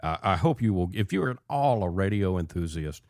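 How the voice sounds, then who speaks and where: An American man hosting a radio show in an animated, conversational way, with emphatic, repeated phrases. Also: deep voice, fairly quick, no echo, studio quality.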